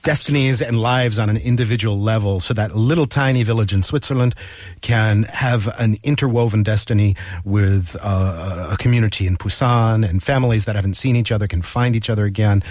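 A man's voice: on the low side, a little mellow, no echo. Articulate male interview host. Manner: articulate, eloquent phrasing. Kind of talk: interview hosting, casual and polished.

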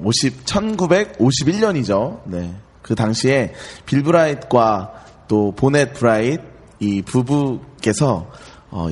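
A man's voice: medium pitch, slightly rough, in a large hall. A man in his thirties, a ministry staff guest, recounting history hesitantly with many fillers. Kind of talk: casual storytelling.

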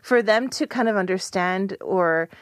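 A woman's voice: medium pitch, a little slow, dry recording. Female radio guest, Korean native speaker, friendly and thoughtful, close dry studio sound. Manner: friendly, thoughtful, trailing hedges. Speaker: English teacher and studio guest. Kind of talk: casual radio conversation.

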